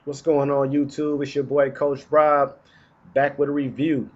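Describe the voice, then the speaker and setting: A man's voice: a little high, completely flat delivery, a little slow, highs cut off, faint music behind. A man in his thirties recording a casual fragrance review vlog indoors while getting over a cold, chatty and slangy. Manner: laid-back, chatty, slangy. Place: indoors, small room.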